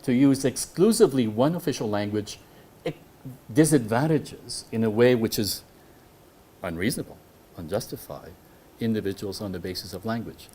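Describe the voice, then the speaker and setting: A man whose first language is French, giving an academic lecture on law to a hall audience in measured English, often restarting his phrases and putting questions to the listeners. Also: on the low side, warm tone, a little hiss or hum. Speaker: guest lecturer.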